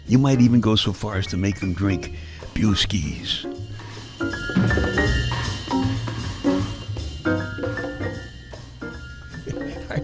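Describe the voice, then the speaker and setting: A man with a Brooklyn accent giving a wry, scripted comic monologue, chuckling at his own jokes. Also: lowish voice. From Brooklyn, New York. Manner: wry deadpan storytelling with chuckles. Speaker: radio humor host.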